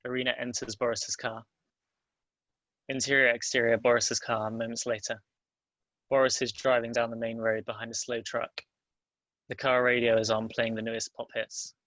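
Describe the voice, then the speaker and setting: A man narrates a screenplay, reading out scene headings and action. His voice is bright and crisp in tone with fairly even pitch, recorded close and dry. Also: normal speed, long pauses, dry recording, low-quality recording. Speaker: narrator reading stage directions.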